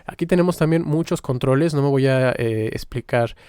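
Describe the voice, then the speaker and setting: A man giving an informal step-by-step software tutorial as the instructor, in a halting, explanatory manner. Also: medium pitch, smooth, dry recording, clean studio sound.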